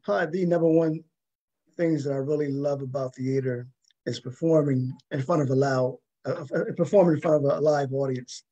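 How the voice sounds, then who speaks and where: A man hosts a conversational talk show. His voice is soft-edged and mellow, sitting a little higher than most men's. He rambles toward his questions, doubling back on words. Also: fairly even intonation, a little fast, muffled recording. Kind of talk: conversational interviewing.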